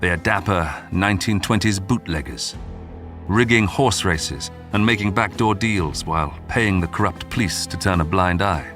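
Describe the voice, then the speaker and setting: A man hosting and narrating a scripted history documentary in a measured, dramatic storytelling manner. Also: deep voice, fairly bright tone. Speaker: documentary podcast narrator and host. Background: background music.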